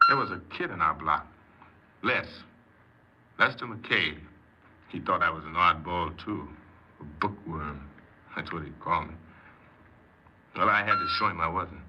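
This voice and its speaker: Deep-voiced, halting, troubled confessing criminal. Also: male voice. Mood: regretful. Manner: hesitant, halting, earnest. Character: troubled, defensive confessed male criminal, a self-important hypnoanalyst. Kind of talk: 1950s radio crime drama acting. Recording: very noisy, muffled 1950s radio recording.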